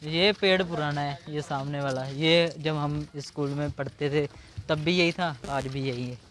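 A man's voice: a little high, steady tone, unhurried, a bit bright. A man, chatting casually and chummily to viewers as he vlogs outdoors on a handheld camera. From Punjab, India. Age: 20s. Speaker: vlogger. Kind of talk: casual vlog chat to camera. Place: outdoors in an open school ground and park. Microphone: handheld camera at arm's length.

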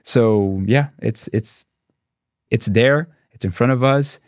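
A man in his forties, a native Portuguese speaker with a Brazilian accent, talking fast as a guest in an interview, restarting and repeating words. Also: medium pitch, no echo, studio quality. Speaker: statistics professor, guest. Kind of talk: casual interview conversation. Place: dark podcast studio. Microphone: studio mic on boom arm, headphones.

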